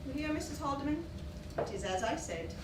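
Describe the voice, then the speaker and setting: A self-righteous busybody acted by a woman complains haughtily in a high, lively voice. It sounds like a theatre recording, distant and noisy. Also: brisk, roomy sound. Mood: offended. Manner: haughty, aggrieved. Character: Mrs. Packard, self-righteous meddling motherly gossip, easily offended. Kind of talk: stage acting in a one-act play. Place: theatre stage.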